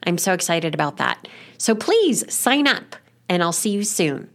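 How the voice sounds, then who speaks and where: A woman's voice: on the low side, very animated, measured pace. A woman hosting an art podcast over a video call, upbeat and chatty, sounding nasal from a cold. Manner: upbeat, chatty, frequent ums. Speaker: podcast host. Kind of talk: casual podcast chat and announcements.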